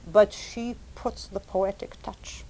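A woman whose first language is Lithuanian, a professor and translator, talking in a measured, thoughtful way and repeating words as she thinks. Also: medium pitch, steady tone, mellow, slight background noise, rough recording. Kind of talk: recorded oral history interview.

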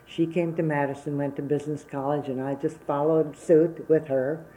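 A woman's voice: low voice, flat delivery, moderate pace, mellow, poor audio. A woman in her seventies from Wisconsin whose first language was Czech, recalling her working life plainly and unhurriedly in an oral history interview. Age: 70s.

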